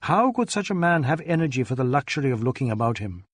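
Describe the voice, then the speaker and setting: A man's voice: medium pitch, sing-song, quick pace, no echo. A man reading scripted literary narration as an audiobook narrator.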